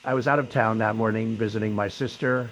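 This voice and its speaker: A man's voice: fairly low pitch, fairly even intonation, close to an everyday voice, poor audio. Man acting as hesitant suspect, faint background noise. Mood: evasive, uneasy. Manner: hesitant, halting denials. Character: evasive male suspect answering police questions. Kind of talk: acted interrogation recording.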